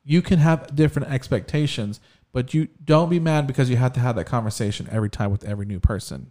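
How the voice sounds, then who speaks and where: A man talks bluntly and swears freely. He hands out big-brother advice in an even, mid-pitched voice. The setting is a loose, casual podcast chat. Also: quick pace. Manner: blunt, profane, advice-giving. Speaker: podcast co-host.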